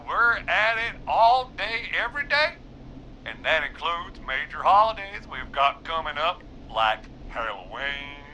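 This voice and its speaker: A man's voice: high voice, bright, forceful tone, slight background noise, heavily acted, low-quality recording. A man playing a shamelessly self-promoting pizza shop owner in a video game, theatrical and falsely modest.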